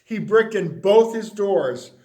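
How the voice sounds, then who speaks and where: A man reading a children's picture book aloud, expressive and dropping to a hush on the refrains. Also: sits high, slow. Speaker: storyteller.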